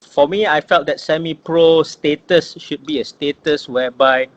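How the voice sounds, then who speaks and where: A man's voice: a little high, fairly even intonation, normal speed, highs cut off. A man in his forties from Malaysia, a guest in an interview, talking in a thoughtful way with many fillers and repeated phrases. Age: 40s. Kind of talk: casual interview.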